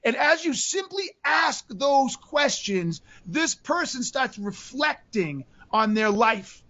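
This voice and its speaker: A man co-hosting a podcast in casual chat, animated, acting out buyers' lines as he explains. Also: very high-pitched, slow pace, lo-fi sound. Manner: animated, acts out buyer voices in quotes. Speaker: podcast co-host.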